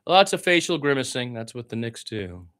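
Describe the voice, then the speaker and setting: A man's voice: mid-range voice, very animated, normal speed, fairly bright tone, clean tone. A man giving informal radio-style basketball play-by-play, with blunt, conversational and opinionated asides. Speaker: play-by-play sports commentator.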